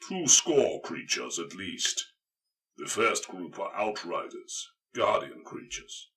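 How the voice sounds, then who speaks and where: A feral space marine huntsman voiced by a man talks in blunt, watchful phrases. His deep, forceful audiobook voice sits a little back from the microphone and stays curt. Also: big pitch swings, takes it slowly, recorded from a distance, heavily acted. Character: feral, wary male space marine tracker. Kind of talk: audiobook character dialogue.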